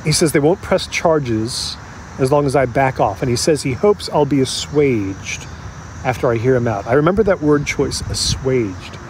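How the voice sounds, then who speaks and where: An actor playing an agitated man who recounts a tense encounter in a halting, emphatic, conversational audio drama monologue. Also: medium pitch, animated, slight background noise, lightly acted, poor audio. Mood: wry, intent.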